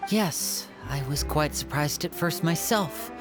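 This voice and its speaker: Suave, lowish-voiced young magician boy. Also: slow pace. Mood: polite, informative. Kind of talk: video game voice acting. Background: music.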